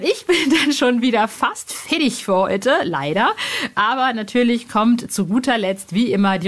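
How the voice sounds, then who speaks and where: A woman hosting a podcast in a casual, chatty way, speaking informally and effusively with plenty of slang. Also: mid-range voice. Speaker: podcast host.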